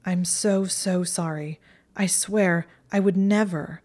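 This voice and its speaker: A woman voicing an earnest, clever young witch in a storybook reading, speaking in rushed, pleading apologies. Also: fairly low pitch, fairly even intonation, darkish tone. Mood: remorseful.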